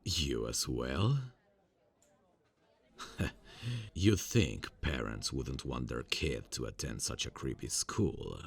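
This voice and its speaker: A young man playing a friendly male college student who is secretly a serial killer, speaking softly and hesitantly in an ASMR roleplay, with many fillers and quiet insinuations. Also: very deep voice, lively intonation, slow pace, long pauses, breathy edge, exaggerated voice. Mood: falsely friendly.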